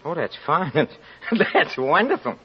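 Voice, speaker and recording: Proud, nervous writer, dull old recording. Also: male voice, fairly high pitch, sing-song, breathy edge, full character voice. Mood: eager. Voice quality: tense, halting speech. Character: proud, nervous, guilt-ridden impoverished young male writer. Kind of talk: old-time radio drama acting.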